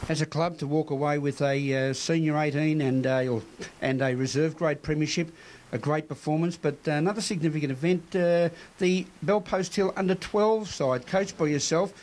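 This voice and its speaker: Australian local TV news presenter. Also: male voice, fairly high pitch, normal speed, a little mellow. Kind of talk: community TV news reading.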